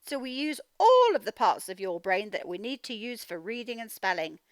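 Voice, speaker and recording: A woman teacher giving a children's read-aloud phonics lesson, speaking in an animated, emphatic, instructional way and sounding out words. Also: a little high, normal speed, no room sound. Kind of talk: children's read-aloud lesson, explaining directly to young learners.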